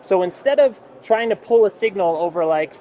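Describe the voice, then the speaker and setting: A man in his forties giving a casual, keen product pitch as a company representative, explaining as he goes. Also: animated, normal speed, warm tone. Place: busy indoor expo hall booth.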